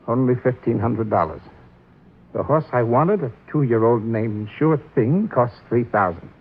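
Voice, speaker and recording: An actor playing a gentle, remorseful old man in a vintage radio drama, husky and earnest, with a halting, pleading way of speaking. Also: medium pitch. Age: older. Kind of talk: 1950s radio drama acting. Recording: tinny, narrow-band vintage radio recording.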